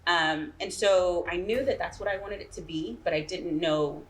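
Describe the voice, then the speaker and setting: A woman's voice: fairly low pitch, normal speed, low-quality recording. A woman giving conversational interview answers as a guest playwright, often checking in with the listener. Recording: faint background room noise.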